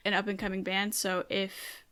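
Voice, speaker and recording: Young woman podcaster just chatting, bright voice, giggly, kinda self-mocking, swears a bit. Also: mid-range voice, completely flat delivery, normal speed. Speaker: podcast co-host. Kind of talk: casual chat.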